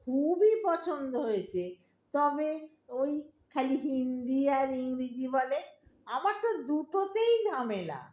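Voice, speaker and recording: A woman's voice: sits high, sing-song, slow, a little mellow, exaggerated voice. A woman playing a fretful, old-fashioned middle-aged Bengali mother in an audio drama, plaintive and scolding, with a Bengali accent. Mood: complaining. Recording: roomy, echoing audio drama recording.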